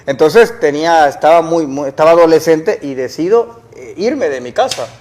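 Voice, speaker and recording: Young man, guest, earnest storyteller, raised pitch. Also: moderate pace, darkish tone. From El Salvador. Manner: earnest, explains with rhetorical questions. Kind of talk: casual interview storytelling.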